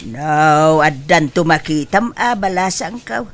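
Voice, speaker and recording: A woman's voice: sits low, lively intonation, takes it slowly, exaggerated voice. A woman playing a weary, complaining older mother and aunt in a comedy drama, full of sighing exclamations. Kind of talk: Ilocano comedy drama acting.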